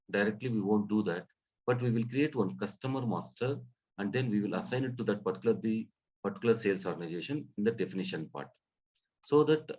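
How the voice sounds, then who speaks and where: Male software trainer, lowish voice, halting explanatory delivery with repeated phrases, muffled lo-fi recording. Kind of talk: live training lesson, explanatory. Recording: dull, muffled lo-fi audio.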